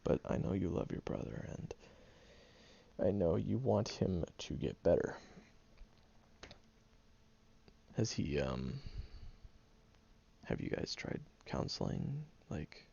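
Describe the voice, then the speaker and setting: A man plays a sarcastic, grumpy young guy in an audio roleplay who swears freely but softens into real care. His low, gravelly voice swings widely in pitch, with a dark, mellow tone on a dry, slightly muffled recording. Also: big pitch swings, measured pace, heavily acted. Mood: earnest. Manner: blunt and profane, gentle underneath. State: groggy, just woken up. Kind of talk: audio roleplay monologue to the listener.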